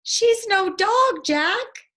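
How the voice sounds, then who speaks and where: A woman's voice: extremely high pitch, animated, very slow, sharp tone, voice pushed up, full character voice. A woman voicing an impulsive, kind-hearted little girl in a storybook, speaking in emphatic exclamations. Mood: excited.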